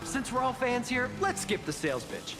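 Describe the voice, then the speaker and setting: A woman playing an excitable, gushing young female fan in a scripted video game scene. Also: a little high, moderate pace. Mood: excited, gushing. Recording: music under the voice.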